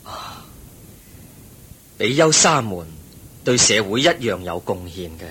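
An actor with a Hong Kong Cantonese accent playing the Buddha in a radio drama, a calm, wise teacher who explains things patiently and in measured phrases. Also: male voice, breathy edge, full character voice. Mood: patient teaching. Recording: noisy recording with low rumble.

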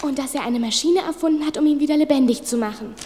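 A woman's voice: sits high, fast talker, darkish tone, a little hiss or hum, natural voice. A woman playing a curious, frank, slightly sarcastic young girl in a German audio drama. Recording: reverberant hall, music behind.

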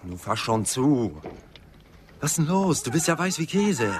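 An actor playing a gruff, dismissive railway worker loyal to the boss, brusque and emphatic in radio drama dialogue. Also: male voice, a little high, sing-song, measured pace, noisy background. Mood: impatient, confident.

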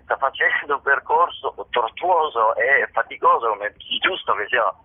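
A man's voice: mid-range voice, expressive, moderate pace, breathy edge. A man from Italy giving an informal, spontaneous interview as an actor, hesitating and repeating words as he searches for phrasing, over a narrow phone-like line. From Italy, province of Vicenza. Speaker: actor and playwright being interviewed. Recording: muffled, narrow telephone-line sound.

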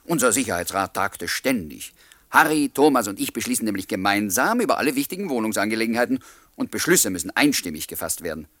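Casting: reflective, polite flatmate-narrator for radio drama; low husky voice, dry studio sound. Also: male voice, quick pace, dry recording, heavily acted. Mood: wry storytelling. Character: courteous, pensive male flat-sharer narrating his memories. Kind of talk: German radio play narration and dialogue.

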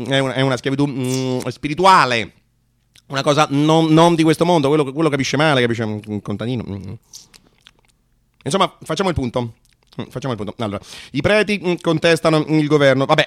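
An actor playing a crude, paranoid anticommunist strongman in a satirical radio monologue, halting and repeating himself as he mutters and erupts. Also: mid-range voice, a bit bright, smooth, voice pushed down, close to an everyday voice. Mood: scornful, then agitated. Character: crude paranoid right-wing Latin American anticommunist strongman, cynical, scornful.

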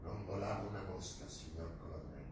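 An actor playing a deferential but coldly menacing army captain, giving deliberate, clipped replies in a theatrical style. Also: male voice, low-pitched, a little mellow, slight background noise, close to an everyday voice. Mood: cautious, deferential. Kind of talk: theatrical stage acting. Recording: echoey stage, somewhat distant mic.

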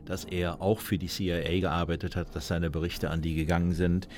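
A man's voice: deep voice, level tone, brisk. A man giving explanatory documentary commentary, emphatically, point by point.

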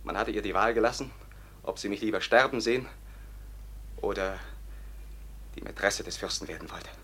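A man's voice: on the low side, moderate pace, fairly bright tone, husky. An actor playing a passionate, wronged young Bohemian nobleman in a radio play, declaiming with earnest desperation. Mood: agitated storytelling. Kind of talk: classic German radio play acting.

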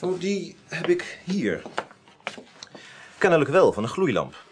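A man's voice: a little high, sing-song, slow pace, background noise. A man acting in a radio play as a pedantic, slightly reluctant forensic expert, precise and explanatory.

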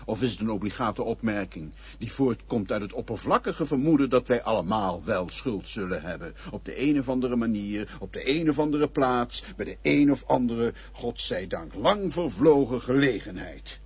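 An actor playing a calm but needling male companion in a Dutch radio play, questioning and philosophical like a schoolmaster, in a very husky voice. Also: medium pitch, moderate pace, hardly any pauses. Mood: probing, needling. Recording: dull, lo-fi radio play recording.

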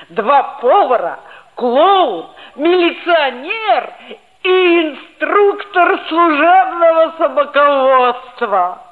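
Seventh-grade boy storyteller: piping, earnest, mock-grave radio drama delivery, narrow old-broadcast sound. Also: high voice. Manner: mock-serious, expressive. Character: schoolboy narrator, earnest, mischievous seventh-grader. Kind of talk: radio play narration, storytelling. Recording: tinny vintage 1960s radio recording.

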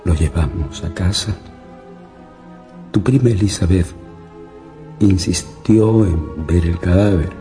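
Radio drama role: grave, bereaved older father, very slow and measured, soft music underneath. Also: low voice. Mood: solemn grief. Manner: solemn, measured, sorrowful. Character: Victor's grieving, tender, grave older father. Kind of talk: radio drama, reading a letter aloud.

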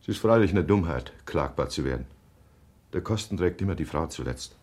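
An actor playing a gruff, uneasy, evasive husband in a radio drama, husky and halting in his speech. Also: rumbling low, animated, a little mellow, exaggerated voice. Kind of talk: naturalistic German radio drama acting.

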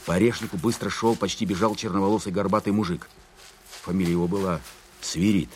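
An actor playing a sober wartime officer, narrating his search in a measured, deliberate way for a radio play. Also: deep voice, fairly even intonation, a little hiss or hum, lightly acted. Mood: tense. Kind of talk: first-person dramatic narration, radio play.

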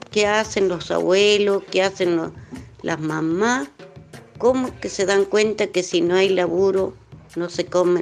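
A mature woman with an Argentine accent giving reflective personal testimony in an earnest, halting way. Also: fairly low pitch. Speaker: interviewee, worker rights activist.

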